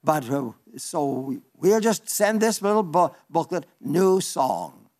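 A man's voice: a little high, big pitch swings, slow, darkish tone. An elderly man preaching a folksy radio sermon, reading listener letters with chatty asides and small stumbles. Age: older.

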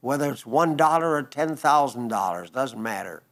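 A man in his nineties giving a broadcast sermon, reading listener letters in a gentle, rambling way with frequent restarts. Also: mid-range voice, expressive, normal speed. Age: 90s. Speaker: radio preacher.

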